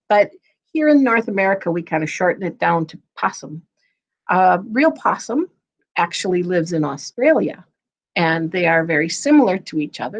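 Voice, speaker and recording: A woman tells a story to young children with a strongly animated, swinging pitch. She takes her time, playful and expressive, and slips easily between reading and casual chat. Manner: playful, expressive, sing-song when reading. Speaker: guest storyteller.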